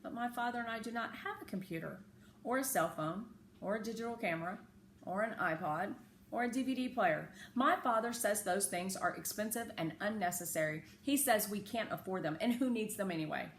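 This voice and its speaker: A woman reading a children's story aloud in an expressive, careful, teacherly way with chatty asides. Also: medium pitch, fairly quick. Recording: echoey room with faint background noise.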